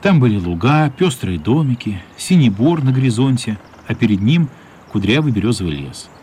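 A man's voice: medium pitch, moderate pace. A man narrating a radio play as scripted, dramatic storytelling. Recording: light steady background noise.

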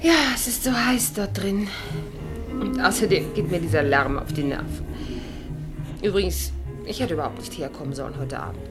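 A woman's voice: on the low side, normal speed, over music, close to an everyday voice. An actress playing a discontented, sly society woman in a German radio crime drama, speaking in an arch, pointed way. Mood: aggrieved.

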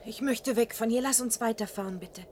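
A woman's voice: on the high side, brisk, a little mellow, slight background noise, lightly acted. A woman playing an uneasy, intimate travel companion in a German radio play. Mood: uneasy, pleading.

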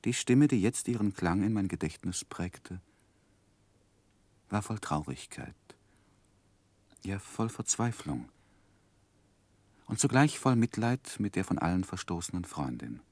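A grown man looking back on his life in a 1960s radio drama. His voice is low and grave, with a measured, wistful storytelling manner. The sound is close and very dry. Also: very animated, a little mellow, no room sound, full character voice. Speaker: narrator.

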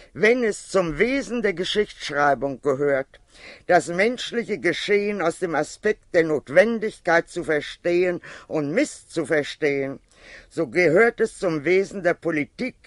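Male reader, high voice, measured and emphatic essay delivery, dry close sound. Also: no room sound. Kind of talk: formal reading of a political-philosophical essay.

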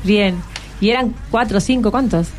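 A woman's voice: medium pitch, normal speed, soft background music. A woman with a Rioplatense Argentine accent hosting a casual radio interview, encouraging and full of questions. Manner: encouraging, asks many questions. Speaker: radio host interviewing schoolchildren.

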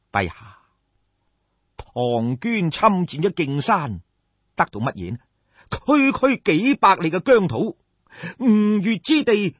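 A male storyteller plays a silver-tongued royal envoy, confident and grand, pressing his case with rhetorical questions. The delivery is slow and husky, with big pitch swings, in an echoey room. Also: full character voice. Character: eloquent, confident male envoy persuading a king. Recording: echoey room, slightly dull sound.

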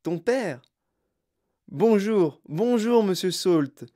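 A storyteller voicing a sprightly, mischievous old chocolatier with a clear, fluty voice, excitable and effusively polite, in an audiobook. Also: medium pitch, drawn-out words, voice pushed up. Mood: effusive delight. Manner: excitable, repeats himself in courteous bursts.

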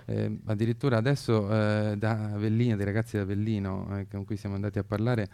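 A man with a low, creaky voice that stays quite flat. He sounds mellow and close-miked, explaining political views earnestly in halting, measured phrases. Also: no echo.

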